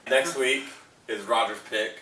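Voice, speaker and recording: A man of medium pitch banters casually as a podcast co-host. He is off the microphone in an echoey room, with clear noise in the recording. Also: steady tone, measured pace. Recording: noisy, echoey, distant mic.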